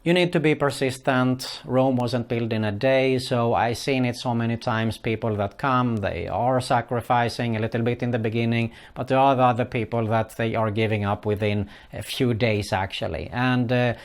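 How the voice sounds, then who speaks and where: A man's voice: mid-range voice, steady tone, normal speed, hardly any pauses. A middle-aged man from Sweden, speaking English as a later language and giving earnest, casual advice with many fillers. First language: Swedish. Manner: earnest, repeats "for example" and "keep that in mind", frequent "uh" fillers. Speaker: online business vlogger. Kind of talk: casual advice talk to camera.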